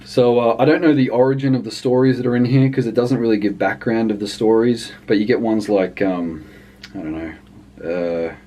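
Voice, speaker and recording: A man's voice: fairly low pitch, steady tone, normal speed, mellow, slight background noise. A man giving a casual, unscripted review in a hesitant, rambling way, often trailing off mid-thought. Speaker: language book reviewer.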